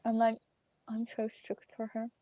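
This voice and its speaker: A woman's voice: on the high side, completely flat delivery, moderate pace, mellow, muffled recording. A teenage woman co-hosting a podcast, chatting casually, teasing and laughing often. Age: late teens. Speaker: podcast co-host. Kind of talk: casual chat.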